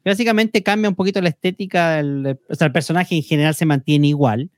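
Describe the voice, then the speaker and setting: A man co-hosting a podcast, quick with jokes and short interjections. His voice sits a little high, recorded close and dry. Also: no echo. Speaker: podcast co-host. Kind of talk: casual banter.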